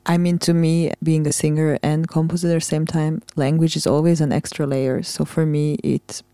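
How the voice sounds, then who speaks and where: A gravelly, mellow voice with a flat delivery, from a Hungarian-native singer and composer hosting the interview. The speech is deliberate and earnest. It sounds dry and close. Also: mid-range voice, no echo. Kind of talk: interview questions.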